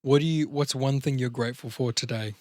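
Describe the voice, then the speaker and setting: A man's voice: medium pitch, brisk, a little mellow, no echo. Casual, sweary man on a podcast. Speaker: podcast co-host. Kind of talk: casual chat.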